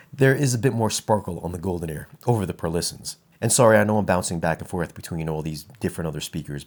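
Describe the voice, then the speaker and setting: A man with a lowish voice talks through a gear review in a casual, conversational way. He sounds like an enthusiast reviewer explaining what he hears, informal and engaged. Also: darkish tone. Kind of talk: casual product review talk.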